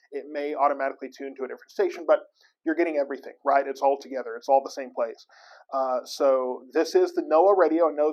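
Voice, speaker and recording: A man with an American accent and a slightly high voice hosts an informal explainer. He talks in a folksy, conversational way, often halting and feeling for the next phrase as he goes. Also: darkish tone, rough recording. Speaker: host of weather tips segment. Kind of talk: casual explainer to camera.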